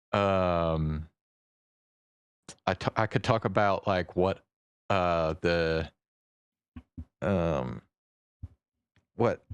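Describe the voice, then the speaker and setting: A man livestreaming in a casual, halting, rambling way, swearing offhandedly as he chats. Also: low voice, clean tone, no echo, clean studio sound. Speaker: live streamer.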